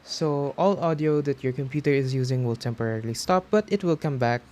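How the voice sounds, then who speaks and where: A man hosts a how-to tutorial in an everyday, mid-range voice. He talks casually, guiding the listener through software steps one at a time. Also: medium pitch, brisk. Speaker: tutorial host.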